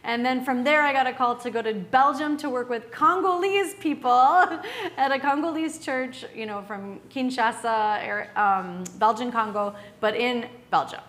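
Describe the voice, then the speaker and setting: A woman's voice: a little high, a little slow, a bit bright. A woman from Wisconsin, a missionary, introducing herself to a church congregation in a cheerful manner and laughing often. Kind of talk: church presentation.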